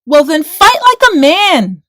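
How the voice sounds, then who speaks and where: A woman's voice: high-pitched, big pitch swings, takes it slowly, bright, forceful tone, dry recording, studio quality, voice pushed up, heavily acted. A woman voicing a tiny, spunky, fearless shepherd boy in a rhyming children's storybook, bold and declamatory. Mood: defiant, shouting.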